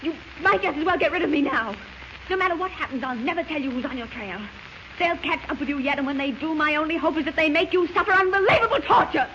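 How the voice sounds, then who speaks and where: A woman's voice: sits high, fast, pushed and bright. An actress playing a scornful, defiant young captive woman, biting and indignant in 1940s radio style. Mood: bitter scorn. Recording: muffled, hissy old radio recording.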